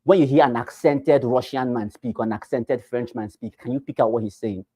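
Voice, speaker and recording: A man's voice: mid-range voice, fairly quick, no room sound, studio quality. A man with a Nigerian accent giving a training talk to realtors, repeating points and asking rhetorical questions. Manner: swings between loud bursts and quiet asides. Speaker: real estate trainer.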